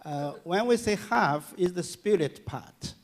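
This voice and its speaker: A man giving a public lecture and answering audience questions, speaking haltingly with many fillers and restarts. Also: high-pitched, expressive. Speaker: guest lecturer. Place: lecture hall.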